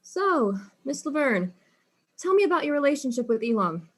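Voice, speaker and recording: A woman's voice: high voice, very animated, normal speed. A woman playing a polite, probing detective who questions suspects in a mystery drama. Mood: polite, inquisitive. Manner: courteous, inquisitive. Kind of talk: acted mystery audio drama.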